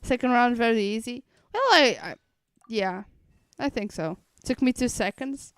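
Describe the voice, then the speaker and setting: A woman's voice: on the high side, normal speed, smooth, no room sound. A woman streamer chatting casually and playfully to her live audience as she plays a game. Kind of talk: casual live-stream chat while gaming. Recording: dry close mic, faint music behind.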